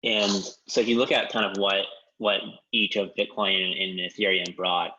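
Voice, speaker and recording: A man explaining in a measured way on a conference call, with frequent ums and you-knows. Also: low voice, level tone, bright, forceful tone. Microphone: conference call line. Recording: dull lo-fi conference call audio.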